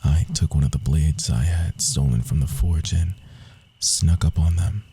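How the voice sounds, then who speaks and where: A man playing a proud, wounded young rogue assassin in an intimate ASMR roleplay, speaking in a hushed, confiding way with dramatic pauses. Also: rumbling low, soft background music, heavily acted. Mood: grim, bitter. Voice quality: husky, breathy, often dropping to a whisper.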